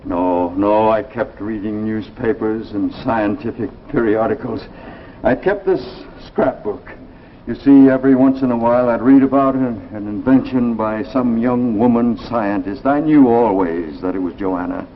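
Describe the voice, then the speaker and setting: Actor as slow, low-voiced, lovelorn American husband. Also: male voice, slight background noise, close to an everyday voice. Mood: earnest, brooding. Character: despondent lovelorn husband, haunted and pleading. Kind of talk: 1950s radio drama acting. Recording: muffled vintage 1950s radio recording, music behind.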